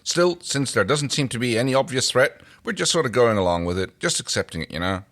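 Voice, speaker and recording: A man's voice: mid-range voice, animated, brisk, fairly bright tone, voice pushed up. A man voicing an easygoing astronaut with a casual, wry, folksy manner in a fan fiction reading. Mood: puzzled, resigned.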